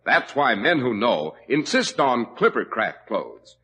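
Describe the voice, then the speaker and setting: Bright salesy vintage male announcer. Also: medium pitch, big pitch swings, measured pace. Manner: salesy, emphatic. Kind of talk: 1940s radio commercial. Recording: old lo-fi radio broadcast.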